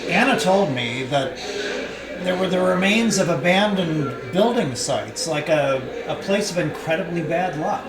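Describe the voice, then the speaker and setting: Man acting probing storybook friend, earnest, lively intonation, roomy recording. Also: on the high side, a little slow, music in the background. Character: earnest, probing, mildly annoyed male friend. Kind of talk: dramatised novel reading. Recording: echoey roomy live reading.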